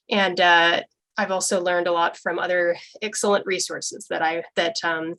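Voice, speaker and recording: A woman's voice: lowish voice, fairly bright tone, rough recording. Earnest young woman presenting. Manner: earnest, with frequent ums and restarts. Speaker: presenter at a church discussion forum. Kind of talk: informal educational presentation.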